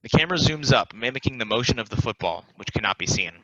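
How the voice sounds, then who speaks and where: A man's voice: medium pitch, expressive, fast, sharp tone, dry recording, dull audio. A man reading out stage directions as scripted narration for a play.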